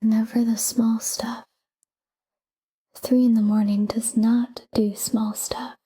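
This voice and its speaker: A woman guiding a sleep meditation in a calm, hushed, breathy whisper, soothing and soft. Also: fairly high pitch, slow.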